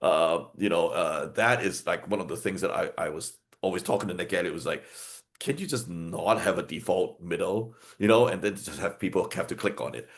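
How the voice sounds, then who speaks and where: A man's voice: low-pitched, brisk. A man who publishes books, talking in a rambling way on a panel video call, full of restarts and fillers. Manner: rambling, many restarts and fillers, frequent laughter.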